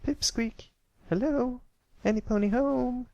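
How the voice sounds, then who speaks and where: A man's voice: extremely high pitch, slow, gravelly, warm tone, no room sound, dull audio, pitched above the performer's own voice, heavily acted. A man voicing a friendly, eager young country filly pony in a storybook reading, with folksy turns of phrase.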